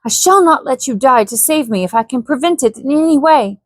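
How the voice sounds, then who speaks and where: A woman's voice: high voice, animated, fairly quick, no echo, voice pushed up. A woman voicing a brave, devoted young English lady who pleads earnestly. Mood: determined.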